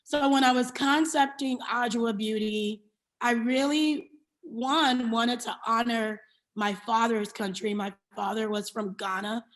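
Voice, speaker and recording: A woman with an American accent and a fairly high voice speaks as an interview guest. She answers reflectively and conversationally. Her manner is candid and explanatory, restating points to stress them. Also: fairly even intonation, measured pace, poor audio. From New York City, USA. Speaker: interview guest, beauty brand founder and CEO.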